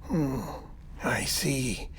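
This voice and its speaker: An actor playing an eccentric, chatty middle-aged coroner in a video game cutscene, with theatrical, halting phrasing. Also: male voice, mid-range voice, expressive, background noise. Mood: earnest, persuasive. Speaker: doctor and former coroner in a game story.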